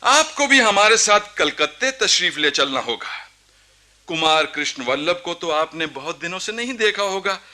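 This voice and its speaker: An actor playing a proud, embittered young Nawab in a historical radio drama, with imperious, rhetorical courtly speech. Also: male voice, high-pitched, animated, moderate pace, sharp tone, exaggerated voice. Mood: courtly, commanding. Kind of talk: theatrical Hindi radio historical drama acting.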